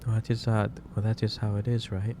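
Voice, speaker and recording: A man doing a soft ASMR roleplay as a gentle, caring office boss, speaking hesitantly with pauses mid-sentence as he gives advice. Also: lowish voice, flat delivery. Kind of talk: soft ASMR roleplay, improvised monologue to the listener. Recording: clearly noisy background ambience.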